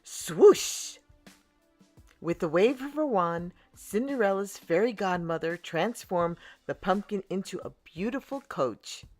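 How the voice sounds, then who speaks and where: A woman storyteller reading a children's picture book aloud in a slow, deliberate and expressive way. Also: medium pitch, soft background music. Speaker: storyteller, channel host.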